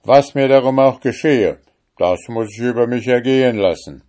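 A bullying, high-pitched judge from an old fairy tale, barking his threats loudly and arrogantly, as a man puts him on. The voice sits well above the narrator's low tone and comes out forceful and overbearing. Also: drawn-out words. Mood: defiant. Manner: blustering, loud and threatening. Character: rich wicked male judge, overbearing and arrogant. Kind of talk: storybook reading.

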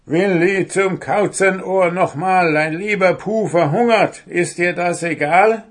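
A man voicing a hungry male dwarf who pleads and complains loudly. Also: fairly high pitch, little pitch movement, slow pace, voice pushed up. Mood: desperate. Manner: whiny, exclaiming.

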